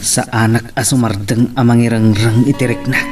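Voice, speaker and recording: A man's voice: mid-range voice, level tone, moderate pace, far from the mic, over music, natural voice. A man with an Ilocano accent playing a lovelorn business owner in a romance radio drama. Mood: brooding. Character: lovelorn male boss and business owner, envying his friend.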